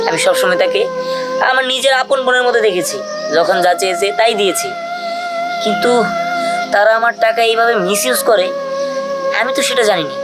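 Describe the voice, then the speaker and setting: A woman voicing an earnest, trusting young husband in a cartoon drama, speaking in a reflective way. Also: on the high side.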